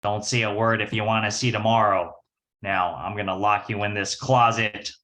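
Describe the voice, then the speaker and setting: A man's voice: lowish voice, little pitch movement, moderate pace, heavy background noise, natural voice. A man playing a cocky, slangy young hoodlum in an amateur 1940s-style radio crime play. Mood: menacing. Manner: slangy, tough-talking. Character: cocky young small-time hoodlum kidnapper, slangy, menacing.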